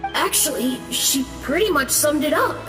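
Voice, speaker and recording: A woman's voice: high voice, animated, normal speed. A woman voicing a timid, apologetic little boy ghost in a video game, meek and hesitant. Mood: earnest. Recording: reverberant voice with faint music behind.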